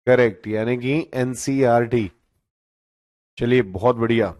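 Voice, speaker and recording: A man's voice: mid-range voice, steady tone, unhurried, a little mellow, dry recording. Guy teaching exam prep, mid voice, dry close sound, keeps checking in with his students. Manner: explanatory, frequent "theek hai" checks.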